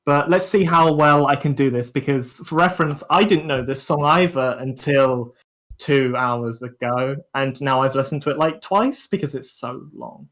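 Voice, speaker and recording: A man's voice: on the high side, fairly bright tone. Young man, casual co-host chat. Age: young adult. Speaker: student radio co-host.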